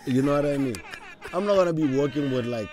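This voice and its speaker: A young man, a podcast guest and former radio presenter, talking bluntly and heatedly, slipping into Setswana, recorded outdoors on a boom mic. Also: lively intonation, fast talker, darkish tone. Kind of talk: casual interview talk. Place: outdoor table on a campus courtyard. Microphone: studio mic on boom arm.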